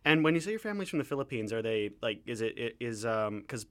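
A man's voice: medium pitch, sing-song, fast talker. A man hosting a casual arts interview, joking and rambling, often correcting himself mid-sentence. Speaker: radio show host.

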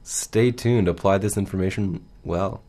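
A man co-hosting a casual, humorous radio show in a halting, mock-serious way. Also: deep voice, warm tone.

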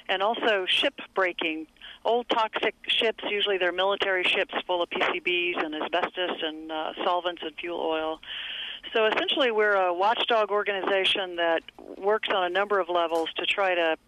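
A woman speaking as an interview guest, explaining her work in a measured, steady way over the phone. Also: fairly low pitch, noisy background. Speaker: environmental advocate guest.

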